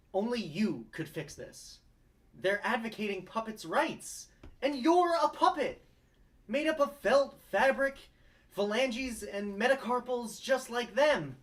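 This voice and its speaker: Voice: mid-range voice, very animated, slow, a bit bright. A performer reading an earnest, pushy young male lawyer in a comedic table read. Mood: rousing.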